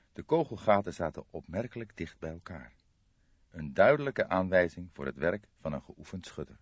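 A man's voice: low voice, husky, no room sound. A man reading a crime audiobook in a dramatic scripted narration style. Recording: slightly dull audio.

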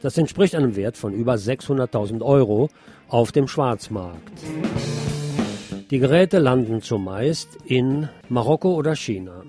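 A man's voice: medium pitch, lively intonation, unhurried, over music. A man presenting scripted radio news as a narrator, with a slightly wry, colloquial delivery.

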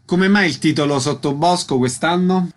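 A man's voice: on the high side, moderate pace. A male radio host asking short, direct questions and closing segments.

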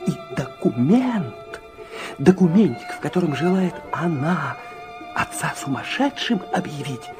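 Rapturous first-person narrator, high and hugely animated, theatrical, music clearly underneath. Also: male voice, measured pace. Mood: giddy reminiscence. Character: excitable male narrator recalling his reckless youth.

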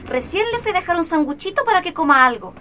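A woman's voice: very high voice, very animated, fast talker, pushed and bright, slight background noise, exaggerated voice. A woman playing a fussy, affectionate, nagging Chilean mother in an educational radio drama. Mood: caring, busy. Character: fussy, caring mother of two boys.

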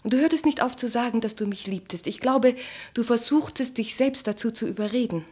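High-voiced, coolly mocking wife. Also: female voice, a little fast, mellow, husky. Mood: wistful, disappointed. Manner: articulate, cutting, theatrical delivery. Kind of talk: German literary radio play acting.

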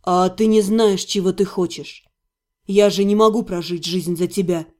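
A woman's voice: on the low side, fairly even intonation, dark tone, pitched below the performer's own voice, lightly acted. A woman voicing a bitter young male necromancer, a jilted fiancé speaking with cold, weary restraint in an audiobook. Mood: weary, reproachful. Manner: bitter, deliberate, reproachful. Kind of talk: dramatized audiobook dialogue.

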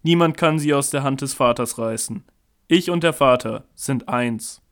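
A man playing a calm, authoritative teacher in a scripted Bible audio drama, speaking in a measured, earnest way. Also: medium pitch, natural voice. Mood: firm, assured.

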